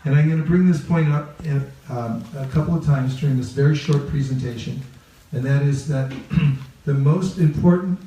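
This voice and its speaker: Echoey, mellow-voiced man teaching a group. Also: a little high, normal speed. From California. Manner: playful, asks the audience questions and repeats key phrases. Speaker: religious teacher leading a training talk. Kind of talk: interactive seminar teaching. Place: reverberant room with a live group. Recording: echoey room, picked up from a distance.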